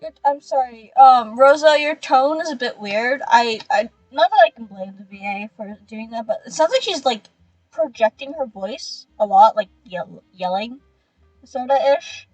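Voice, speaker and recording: A woman streaming a game with a high voice and a dry, close sound. She comments casually and excitably, full of exclamations and offhand swearing. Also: normal speed, husky, no room sound, soft background music, low-quality recording. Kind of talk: casual reaction commentary while playing a story game.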